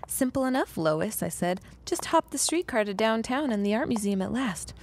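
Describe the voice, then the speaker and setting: A woman's voice: a little high, expressive, moderate pace, a little mellow, slight background noise. A woman playing a frank, plain-spoken, dryly witty working woman in a period audio drama. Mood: flustered, exasperated.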